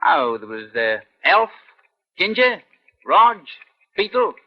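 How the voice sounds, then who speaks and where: An actor playing a sullen, defiant young street tough in a 1950s radio crime drama, with clipped, slangy film-gangster talk. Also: male voice, sits high, very animated, slow, exaggerated voice. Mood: cocky, evasive. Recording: dull old radio recording.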